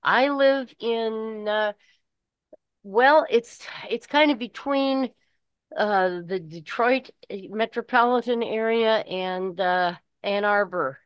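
An older woman with a Midwestern American accent, chatting casually and rambling in a halting way. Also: a little high, clear, resonant tone, dry recording. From Michigan, United States. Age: 60s or older. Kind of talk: casual chat. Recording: dull lo-fi call audio.

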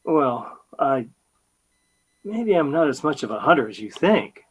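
A man's voice: medium pitch, big pitch swings, a little slow, breathy edge, low-quality recording. An actor playing a bumbling, sheepish customer who stammers through his bluffs in an old-time radio comedy. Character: flustered, bumbling male customer bluffing as big-game hunter.

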